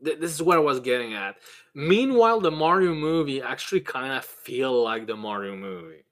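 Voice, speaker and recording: A man hosting a casual chat in a halting, stammering way, with blunt swearing. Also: mid-range voice, animated, a bit bright. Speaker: podcast host.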